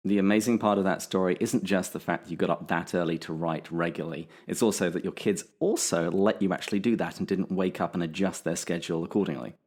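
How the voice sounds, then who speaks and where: A man hosting a podcast, asking relaxed, encouraging questions in casual chat. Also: low voice, quick pace, hardly any pauses. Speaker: podcast host.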